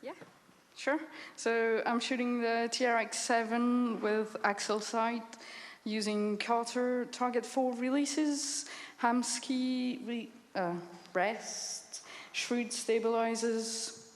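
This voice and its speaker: A woman from Belgium answering on stage in short, unhurried replies. Her voice carries a long arena echo. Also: fairly high pitch, steady tone. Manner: brief, matter-of-fact. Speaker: competing athlete. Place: large indoor arena. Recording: echoey hall.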